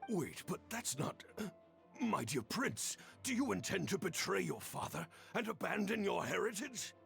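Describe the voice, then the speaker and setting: An actor playing a fatherly older gentleman in a fantasy game, speaking in a courtly, deliberate, avuncular way. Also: high voice, sing-song, vocal fry, faint music behind, exaggerated voice. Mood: grave, alarmed. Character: fatherly old mentor and genteel patron, an older gentleman. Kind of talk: theatrical fantasy video game dialogue.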